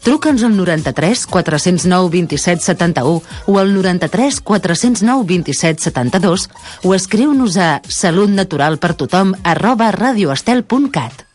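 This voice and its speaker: A woman's voice: fairly low pitch, animated, normal speed, darkish tone, faint music behind. A woman reading scripted radio show announcements.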